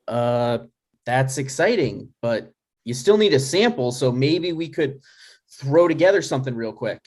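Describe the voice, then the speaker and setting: A man's voice: mid-range voice, lively intonation, measured pace. A man reads the part of a grown son in a comedy script. His intonation moves around a lot. He sounds dry, hesitant and put-upon, in a natural conversational way. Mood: disbelieving. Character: exasperated, dry, reluctant adult son, struggling writer.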